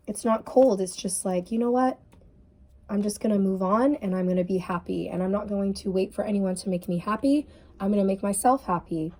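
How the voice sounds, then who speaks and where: A woman's voice: mid-range voice, mellow. A woman giving a casual tarot reading in an earnest, intuitive way, her voice tired and sniffly. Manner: earnest, intuitive, many fillers like "like" and "um". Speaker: tarot reader.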